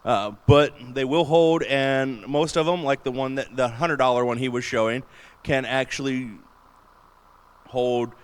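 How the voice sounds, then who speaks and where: A man with a booming voice giving an enthusiastic, chatty panel talk in a large convention room. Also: steady tone, a little slow, clean tone. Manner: enthusiastic, chatty, laughs often. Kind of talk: casual panel talk on streaming gear.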